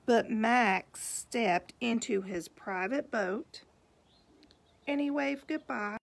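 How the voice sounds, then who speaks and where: A woman reading a picture book aloud to young children in an expressive, unhurried way, pausing to point out the pictures. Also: fairly high pitch, gravelly. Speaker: storybook reader, teacher.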